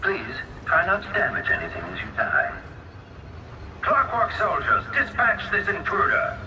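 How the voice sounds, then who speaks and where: Pompous genius inventor villain, swooping delivery. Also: male voice, high-pitched, wildly swooping pitch, measured pace, recorded from a distance, noisy background, heavily acted. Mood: commanding. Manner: pompous, grandiose, drawling. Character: vain, theatrical genius male inventor villain. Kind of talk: video game villain acting.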